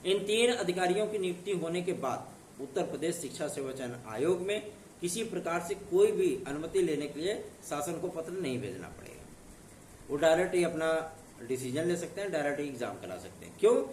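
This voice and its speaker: A hoarse man from northern India gives an earnest, reassuring exam-news update, often checking in with his listeners. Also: on the high side, lively intonation, a little fast, a little mellow, far from the mic, a little hiss or hum. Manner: earnest, reassuring, with repeated tag questions. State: hoarse from a sore throat. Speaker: exam news channel host. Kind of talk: casual direct-to-camera update. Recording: echoey room, voice off-mic.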